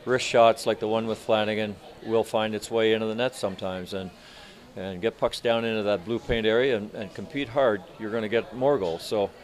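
Low-voiced older male hockey coach. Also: steady tone. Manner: measured, halting, many restarts. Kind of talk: postgame press interview. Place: arena media room in front of sponsor backdrop.

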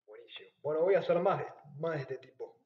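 A man taking part as a student in a call lesson, mostly answering with short, quiet agreements. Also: a little high, expressive, fast, roomy sound, lo-fi sound. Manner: brief agreeing replies, soft-spoken. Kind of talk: tutoring lesson over a call.

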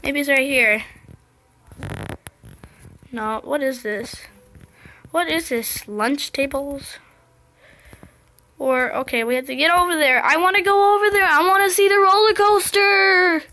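A young girl commentating her gameplay in a casual, excited, chatty way, her voice slightly scratchy. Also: high-pitched, measured pace, pauses often. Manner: excited, chatty, impatient exclamations. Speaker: gameplay video host.

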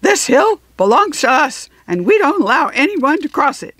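A woman voicing a stout, armless hill man in a storybook, with a rough, defiant, jeering manner. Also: sits high, sing-song, moderate pace, a bit bright, dry recording, pitched above the performer's own voice.

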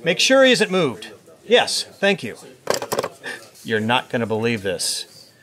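An actor playing an eager, determined government agent in an audio drama, urgent and businesslike. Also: male voice, medium pitch, huge pitch swings, slow, noisy background. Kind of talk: scripted audio drama acting.

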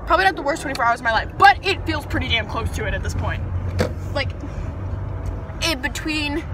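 Talking in her car, a young woman with a high, bright voice rambles to a dashboard camera, chatty and exasperated. Her talk swings from loud outbursts to soft mutters. Steady road and engine noise from the car hums clearly behind her. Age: young adult. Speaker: vlogger. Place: inside a parked and moving car, daytime.

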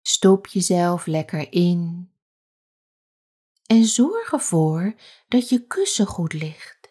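Slow, soft-spoken woman guiding meditation. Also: fairly low pitch, sing-song, husky. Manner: soft, gentle, with long pauses. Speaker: yoga teacher. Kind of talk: guided sleep meditation.

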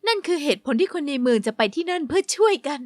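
A woman playing a kind, patient mother goat in a children's cartoon, gently explaining. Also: extremely high pitch, sing-song, rapid-fire, no echo, clean studio sound. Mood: kindly.

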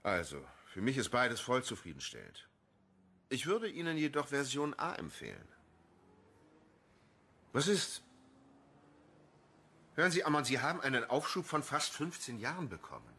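An actor playing a cynical, menacing police official in a radio crime drama, taunting and deliberate. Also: male voice, medium pitch, huge pitch swings, pushed and bright, husky, exaggerated voice. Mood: smug, threatening.

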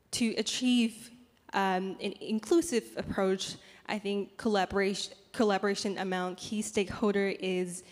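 A young woman whose first language is Cantonese reads a prepared statement into a handheld mic, pausing often with ums. Her delivery stays flat and even in pitch. The sound carries the long reverb of a large conference hall. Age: young adult. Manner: reads from laptop with many pauses and ums. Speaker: youth panel speaker. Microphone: handheld microphone.

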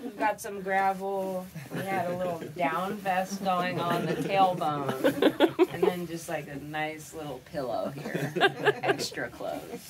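A woman's voice: lowish voice, lively intonation, slow, a loud crowd. A woman chatting casually as a camping companion. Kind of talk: casual chat. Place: small wooden log hut. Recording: very noisy ambient camera audio.

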